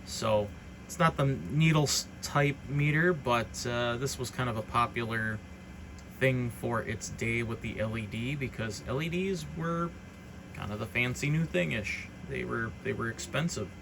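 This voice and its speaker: A man's voice: mid-range voice, unhurried. A man giving an informal, unscripted product walkthrough as a hobbyist presenter, explaining features in a hesitant way. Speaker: hobbyist presenter demonstrating radio gear. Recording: clearly noisy background.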